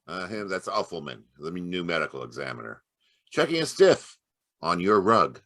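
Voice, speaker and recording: An actor playing a grave, gruff, terse police lieutenant in an old-time radio mystery. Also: male voice, low-pitched, sing-song, normal speed, a bit bright, exaggerated voice.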